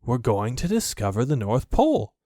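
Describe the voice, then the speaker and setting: A man's voice: medium pitch, sing-song, moderate pace, dark tone, no echo, clean studio sound. Man doing a confident, breezy young boy. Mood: breezy, assured. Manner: carelessly authoritative, explaining. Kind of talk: storybook character voice.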